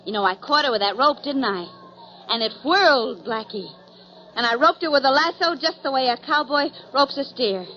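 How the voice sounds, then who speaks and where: A woman's voice: sits high, normal speed, lightly acted. An actress playing an eager, guileless young woman sidekick with a chirpy manner, in 1940s radio drama style. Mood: eager, proud. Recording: dull lo-fi 1940s radio broadcast recording.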